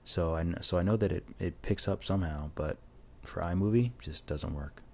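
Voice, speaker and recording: Deep voiced guy narrating his drawing tutorial, laid back and rambly, lav mic on a phone, bit of hiss. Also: brisk, creaky. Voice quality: low voice. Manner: rambling, relaxed, many restarts. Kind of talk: casual voice-over while drawing. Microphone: lavalier mic plugged into a phone.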